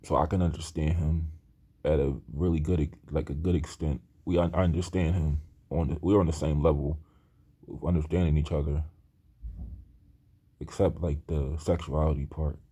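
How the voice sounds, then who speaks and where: Guy in his 30s rambling slow, real low voice kinda husky, keeps restarting. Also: fairly even intonation, warm tone. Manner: hesitant, many restarts and fillers, earnest. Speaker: aspiring screenwriter talking to camera. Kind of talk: rambling personal monologue.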